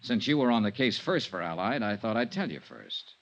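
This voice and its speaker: Low-voiced hardboiled radio detective. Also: male voice, big pitch swings, brisk. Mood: cool, needling. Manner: clipped, sardonic, hardboiled. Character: tough, wry male insurance investigator, cool under threat. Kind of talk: 1950s radio detective drama acting with first-person narration. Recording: muffled 1950s radio recording, music.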